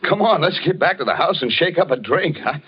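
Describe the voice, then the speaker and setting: A man plays a jumpy, joking friend with a high, lively voice that leaps about. Muffled old radio sound with music behind him. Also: fairly quick, a little hiss or hum, lightly acted. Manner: bluff, excitable, wisecracking. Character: jovial nervous friend, quick to joke. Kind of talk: 1940s radio acting.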